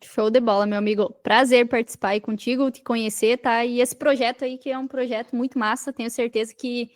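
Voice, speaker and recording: A woman's voice: on the high side, flat delivery, brisk. A Brazilian woman speaking as a podcast guest and coach, explaining in an informal, didactic way with emphatic repetition. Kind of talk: casual explanatory interview talk.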